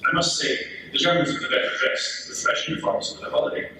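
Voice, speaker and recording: A man's voice: quick pace, bright, forceful tone. A man playing a thoughtful British soldier telling his story in a letter home, performed as readers theater. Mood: storytelling. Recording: very echoey room, distant mic, faint noise.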